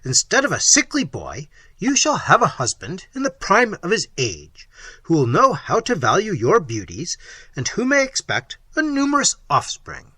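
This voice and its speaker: A man voicing a tyrannical middle-aged prince, loud and commanding, with a husky voice. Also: high-pitched, wildly swooping pitch, normal speed, dry recording, pitched above the performer's own voice, exaggerated voice. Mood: domineering.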